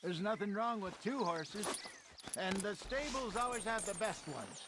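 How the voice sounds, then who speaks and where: Jovial American Western outlaw, folksy and teasing, high voice with big swings, horse sounds loud behind. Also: male voice, animated, unhurried, noisy background. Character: jovial, confident middle-aged male Old West outlaw. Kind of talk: naturalistic video game cutscene acting. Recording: clear outdoor game sound effects, horse hooves.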